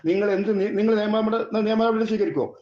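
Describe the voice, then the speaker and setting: Man, northern Kerala accent, very high pitch, quick, indignant complaining caller, insistent questioning, echoey phone recording. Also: highs cut off. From Edappal, Kerala. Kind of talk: confrontational phone call.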